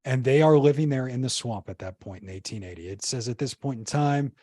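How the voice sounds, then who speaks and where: A man's voice: mid-range voice, fairly quick, mellow, no echo. Man musing in a dry, close voice. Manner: rambling, many restarts and fillers. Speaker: podcast guest. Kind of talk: casual conversational storytelling.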